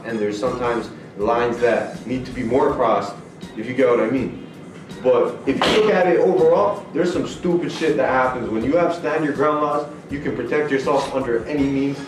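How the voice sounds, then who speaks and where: A man's voice: medium pitch, moderate pace, noisy background. A man with a Toronto accent on a casual podcast, arguing his side bluntly with plenty of swearing. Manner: blunt, profane, argues hard. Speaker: podcast co-host. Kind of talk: casual debate chat. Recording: echoey room, mic far off.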